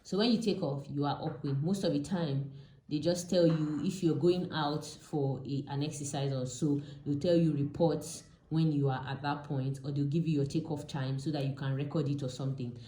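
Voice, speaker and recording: A woman's voice: deep voice, steady tone, moderate pace, dark tone, a little hiss or hum. A Nigerian woman with a Nigerian accent giving an informal, step-by-step lesson as an instructor, repeating key terms as she explains. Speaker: pilot and aviation instructor. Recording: echoey, reverberant room.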